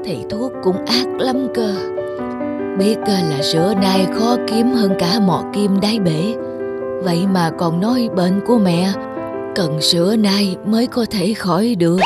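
A woman acts as an old, ailing peasant mother in a cartoon folk tale. She sounds plaintive and weary at a middle pitch, with music clearly behind her. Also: unhurried, close to an everyday voice. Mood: worried. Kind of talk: animated folk tale dialogue.

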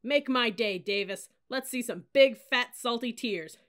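A woman's voice: on the high side, unhurried, fairly bright tone, voice pushed up. A woman voicing a harsh, bullying male cadet leader in an audiobook, barking commands and mocking. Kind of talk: audiobook character voice.

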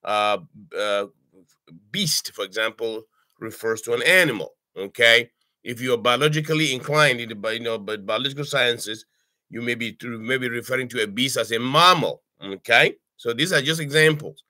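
An older man from Cameroon teaching a casual online language lesson, his voice bright and forceful. He speaks haltingly, stacking up fillers and restarts and asking "okay?" after each point. The webcam sound from his home study is dry and close. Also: medium pitch, dry recording. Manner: halting, many "uh"s and restarts, frequent "okay?" check-ins. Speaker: language tutor. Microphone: webcam on a video call.

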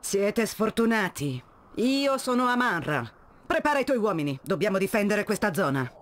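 A woman's voice: medium pitch, sing-song, moderate pace, natural voice. Game role: proud female desert warrior leader, played by an actress, solemn, resolute, giving orders. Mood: commanding. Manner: commanding, solemn.

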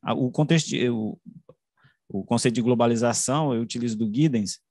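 A middle-aged man giving an academic online presentation, speaking haltingly with frequent self-corrections and repeated words. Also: medium pitch, darkish tone, no room sound, studio quality. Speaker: researcher presenting his study. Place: indoors, room with bookshelves, video call. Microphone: desk microphone close to the mouth.

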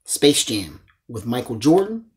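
A man's voice: medium pitch, big pitch swings, unhurried, a little hiss or hum. An enthusiastic man in his forties hosting a collecting vlog, chatting casually to his viewers and often addressing them as guys. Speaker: host of a movie collecting channel. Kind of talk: casual chat showing a haul to camera. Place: small indoor room lined with disc shelves.

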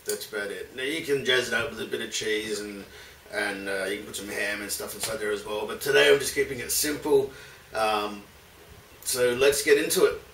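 A man's voice: lowish voice, fairly even intonation, moderate pace, a bit bright. Man hosting a casual cooking tutorial, noisy recording. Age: 30s. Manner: informal, addresses viewers as guys. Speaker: cooking show host. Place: home kitchen, indoors. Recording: clearly noisy home kitchen recording.

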